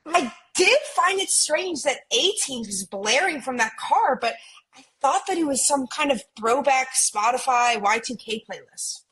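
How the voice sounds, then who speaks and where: A woman's voice: sits high, expressive, unhurried, fairly bright tone, poor audio. A woman playing a flighty, defensive, mystical millennial twin in a romcom table read, with dramatic, quippy delivery. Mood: puzzled, skeptical.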